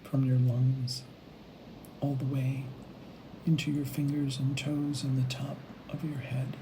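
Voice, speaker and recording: A woman leading a guided meditation, speaking in unhurried phrases with long pauses between them. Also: very deep voice, flat delivery, background noise. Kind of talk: guided meditation, gentle imagery narration.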